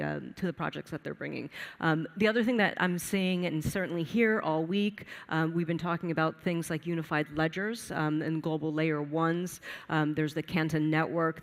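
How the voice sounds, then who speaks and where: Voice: medium pitch, creaky, long reverb tail. A panelist in a panel discussion who speaks loosely, with lots of fillers and restarts.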